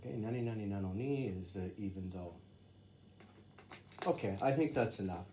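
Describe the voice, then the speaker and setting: A man's voice: on the low side, normal speed, warm tone, slight background noise. A man who teaches English in Japan, a native English speaker, talking casually to camera and explaining Japanese sentences with plenty of fillers. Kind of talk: casual talk to camera, reading sentences aloud.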